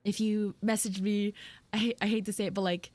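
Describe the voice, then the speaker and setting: A woman livestreamer chatting casually and haltingly with her live viewers, in an informal way, close on the mic. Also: medium pitch, flat delivery, darkish tone, no room sound. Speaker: livestreamer and VTuber host.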